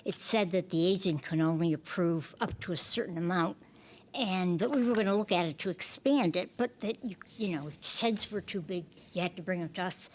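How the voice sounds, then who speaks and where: A woman's voice: lowish voice, brisk, slight background noise. A woman board member asking questions and calling the roll at a town meeting, matter-of-fact. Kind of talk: meeting discussion and roll call.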